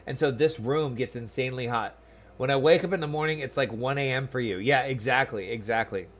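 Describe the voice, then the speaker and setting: An American man streams a video game from home, talking in a loose, rambling way. He pokes fun at himself and chats with his viewers in a medium-pitched voice. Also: a little fast. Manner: chatty, rambling, self-deprecating, reads chat aloud. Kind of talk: casual livestream chat over gameplay. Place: small room at home.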